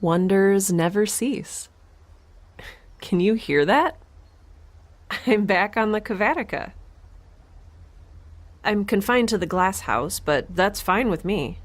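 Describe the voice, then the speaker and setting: A woman's voice: medium pitch, expressive, slow, long pauses. A woman playing a blunt, curious young woman in space, with a wry, rambling, analytical way of talking, in a sci-fi audio drama. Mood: giddy, wry.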